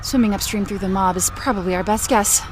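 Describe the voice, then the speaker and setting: A woman's voice: mid-range voice, fast, soft background music, close to an everyday voice. A woman playing a cynical teenage girl superhero in an audio drama, speaking with dry sarcasm. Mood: sarcastic.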